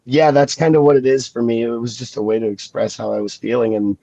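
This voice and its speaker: A man with a gravelly edge to his voice, sniffling and stuffy from a cold. He talks slowly and reflectively, with lots of fillers and restarts. The sound is dry and a bit dull. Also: mid-range voice, level tone, mellow, no room sound. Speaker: guest comic creator. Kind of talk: casual podcast chat.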